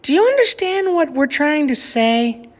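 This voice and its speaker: A man voicing a patient mother gently explaining things to her daughter in a children's story. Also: extremely high pitch, very animated, measured pace, voice pushed up, full character voice. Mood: gentle.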